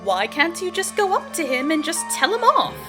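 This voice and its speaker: A woman's voice: very high voice, very animated, moderate pace, over music. A woman voicing a sensible, wry professor who talks in a casual, sceptical way in a comedic fan dub.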